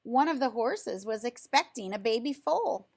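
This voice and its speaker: A woman reading a children's storybook aloud in an expressive, emphatic storytelling way. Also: fairly high pitch, normal speed. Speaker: storybook reader.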